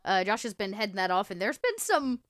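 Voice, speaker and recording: Female podcast regular, mid-pitched, very animated intonation, playful and informal. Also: brisk, no echo, clean studio sound. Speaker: podcast cast member. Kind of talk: casual playful chat.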